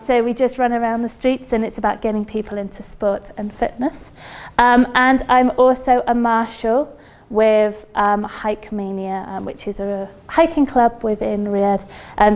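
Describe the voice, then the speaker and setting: A woman's voice: a little high, fairly even intonation, moderate pace, a little mellow, smooth. A woman with a British accent giving an informal, chatty workshop presentation to an audience as a company recruiter. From United Kingdom. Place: lecture room at a university.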